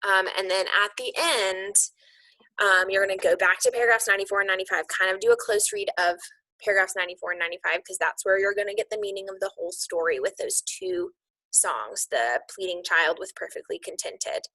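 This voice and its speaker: A woman's voice: medium pitch, sing-song, a little fast, pushed and bright, no echo, rough recording. A woman giving an informal, conversational instructional walkthrough, explaining things as a teacher would to colleagues. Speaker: teacher coach explaining lesson plans to colleagues.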